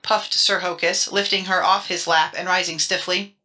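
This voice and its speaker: A woman's voice: fairly low pitch, level tone, normal speed, bright, forceful tone. A woman reading a children's storybook as a dramatic audiobook narrator.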